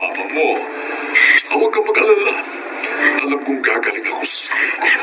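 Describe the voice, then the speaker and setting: An actor with a Filipino accent playing a loving, ailing father in a radio drama, with a gravelly voice. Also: mid-range voice, huge pitch swings, brisk, dull audio, loud music behind the voice. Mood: grave, reassuring.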